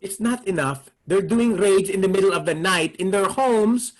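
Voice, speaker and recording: Actor as a grumbling, husky, worried father. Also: high voice, lively intonation, normal speed. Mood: bitter, worried. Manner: grumbling, exasperated. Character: grumpy, worried, cynical Filipino immigrant father and husband. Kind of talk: staged play reading.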